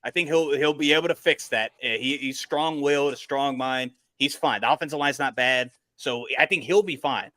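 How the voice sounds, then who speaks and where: Bright-voiced man debating sports, close and dry. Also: mid-range voice, normal speed, few pauses, no echo. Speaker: panelist leading a sports debate.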